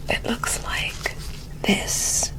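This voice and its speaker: Very slow whispering ASMR woman. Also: poor audio. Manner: soft, deliberate, soothing exam instructions with repeated words. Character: polite, curious alien scientist examining a human. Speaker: ASMR performer.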